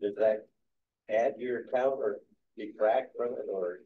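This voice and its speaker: A man's voice: lowish voice, a little slow, a little hiss or hum. A man in an audience asking casual questions over a tinny, phone-like line.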